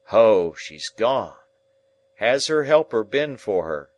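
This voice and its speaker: A man's voice: medium pitch, expressive, unhurried, voice pushed up, lightly acted. A man voicing a delirious, terrified man who pleads and screams at a ghost he believes he sees. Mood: weak, clinging.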